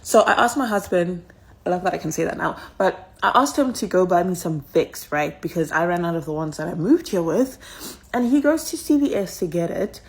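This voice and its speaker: A woman's voice: lowish voice, lively intonation, a little fast. A woman from South Africa telling a story to camera in a casual vlog, wry and incredulous. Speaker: vlogger.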